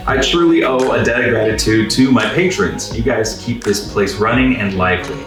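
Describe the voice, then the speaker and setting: A man's voice: fairly low pitch, normal speed, recorded from a distance, over music. Young man, wry and roomy-sounding. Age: young adult. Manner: self-deprecating, wry. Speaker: host of a DIY video series. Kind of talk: casual joking DIY commentary.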